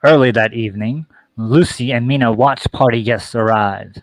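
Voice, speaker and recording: A man's voice: mid-range voice, moderate pace, no room sound. A man reading scripted stage directions as narrator of a comic radio play.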